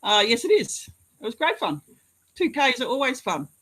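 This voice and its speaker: An Australian woman, a rowing athlete, answering interview questions in a relaxed, modest way with some hesitation. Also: fairly high pitch, moderate pace, slight background noise.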